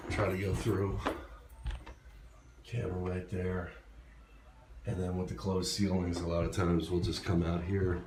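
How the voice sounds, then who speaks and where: A man who installs security systems gives a casual, informal walkthrough, speaking haltingly as if thinking aloud. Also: low-pitched. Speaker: security camera and intercom installer presenting a job. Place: walking around an apartment complex building. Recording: echoey, loud ambient and vehicle noise.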